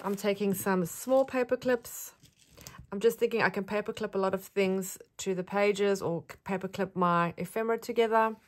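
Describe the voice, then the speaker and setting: Friendly South African woman vlogger, chatty, mid-pitched, relaxed show-and-tell delivery. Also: moderate pace. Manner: chatty, friendly, rambling with fillers. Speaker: craft vlogger.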